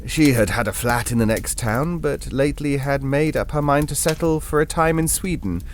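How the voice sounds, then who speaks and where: An actor with a British accent playing a hesitant, reassuring gentleman who tells an eerie tale in an audio drama, halting and conversational. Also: mid-range voice, a little hiss or hum, close to an everyday voice. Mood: reflective, uneasy. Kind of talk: audio drama ghost story narration.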